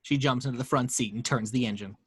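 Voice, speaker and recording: A man's voice: medium pitch, husky, no echo. A man narrating the stage directions at a casual home table read. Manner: brisk reading of stage directions. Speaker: narrator reading action lines.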